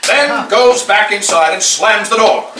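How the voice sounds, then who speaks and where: A lively, slightly high-voiced man reads scripted stage directions at a live table read. The sound is echoey and noisy, picked up from some way off in the room. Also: a little slow. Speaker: narrator reading stage directions. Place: live staged reading at a party. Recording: echoey room, distant mic, clearly noisy.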